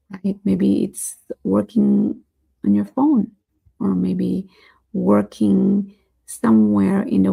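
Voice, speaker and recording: Hoarse woman with a gravelly voice. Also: mid-range voice, warm tone. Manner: earnest, repeats "right?" and "you know". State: losing her voice, hoarse. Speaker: vlogger hosting a daily challenge. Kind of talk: motivational talk to viewers.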